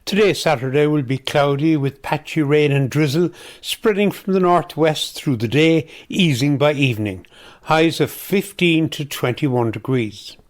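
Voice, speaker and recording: Higher-pitched Irishman reading the weather. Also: a little slow. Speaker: community radio weather reader. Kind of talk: scripted weather forecast reading.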